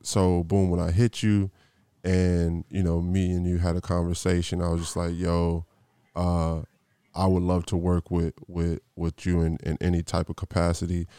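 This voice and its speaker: A man's voice: sits low, completely flat delivery, normal speed, warm tone, smooth, no room sound. A man hosting a podcast, telling a rambling, slangy story with lots of restarts. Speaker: podcast host. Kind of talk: casual interview intro.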